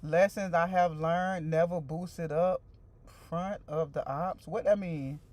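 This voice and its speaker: A woman's voice: fairly low pitch, fairly even intonation, unhurried, a little mellow. A woman in her forties hosting a casual stream, talking loosely and repeating herself as she chats straight to her viewers and answers their comments. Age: 40s. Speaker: livestream host.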